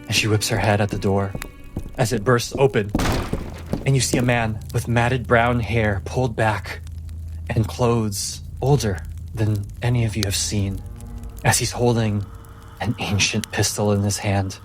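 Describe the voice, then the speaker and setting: A man narrating a tabletop fantasy game as game master, with deliberate, suspenseful phrasing and dramatic pauses. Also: on the low side, fairly even intonation. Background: music.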